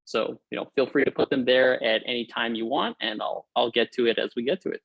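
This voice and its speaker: A man's voice: mid-range voice, fairly even intonation, brisk, gravelly, dry recording, muffled recording. A young man from Hawaii giving an upbeat, informal technical talk over a video call, with frequent fillers and restarts. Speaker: guest lecturer. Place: room at home or office.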